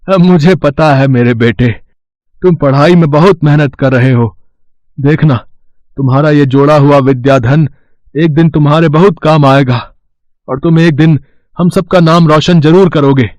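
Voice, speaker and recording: A man voicing a gentle, encouraging working-class father in a cartoon story. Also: fairly high pitch, moderate pace, no room sound, close to an everyday voice. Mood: proud.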